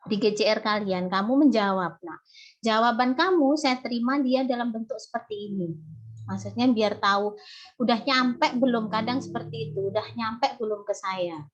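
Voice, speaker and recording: A woman's voice: fairly high pitch, normal speed. A woman lecturer with a Javanese accent, teaching an online university class in a chatty, step-by-step way. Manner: chatty, explaining step by step with frequent "ya". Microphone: video call. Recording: muffled video call audio.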